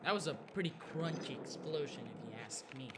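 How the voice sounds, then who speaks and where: A young man from Mexico whose first language is Spanish, chatting haltingly with a Mexican Spanish accent as he narrates a gameplay livestream. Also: a little high, animated. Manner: halting, self-correcting, chatty. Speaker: streamer. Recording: loud background noise.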